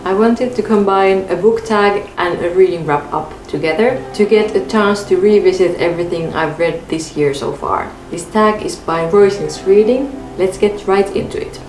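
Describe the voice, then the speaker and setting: A young woman whose first language is Finnish reads through a book tag to camera in a calm, measured way from her bedroom. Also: medium pitch, roomy sound, soft background music, rough recording. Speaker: book vlogger. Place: bedroom in front of a bookshelf, indoors.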